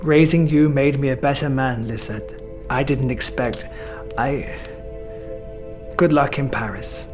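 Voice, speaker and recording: An actor playing a suave older father figure in an audio drama, speaking in a measured, formal and affectionate way. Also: mid-range voice, steady tone, close to an everyday voice. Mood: tender, wistful. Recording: music under the voice. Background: music.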